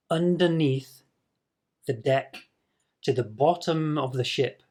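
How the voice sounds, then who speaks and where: Slow, patient male English teacher. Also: mid-range voice. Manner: patient, demonstrates sounds slowly and repeats them. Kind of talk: slow explanatory video lesson to camera.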